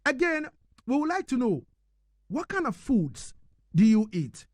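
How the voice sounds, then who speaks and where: Male radio interviewer, deliberate delivery with repeated words, very dry studio-like sound. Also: very high-pitched, sing-song, no room sound. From Ghana. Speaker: radio host. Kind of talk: live radio interview hosting.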